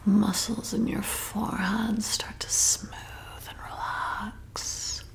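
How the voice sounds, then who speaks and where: A woman playing a flirty, commanding vampire bartender in an ASMR roleplay, softly coaxing and hypnotizing in a breathy whisper. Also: medium pitch. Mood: soothing, hypnotic. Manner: slow, soothing, coaxing, deliberate.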